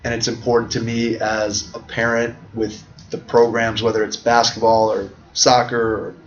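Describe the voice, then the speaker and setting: A man's voice: on the low side, completely flat delivery, normal speed. Man hosting a podcast interview. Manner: reflective, talks in long winding sentences. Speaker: podcast host. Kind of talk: conversational interview. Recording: dull lo-fi audio.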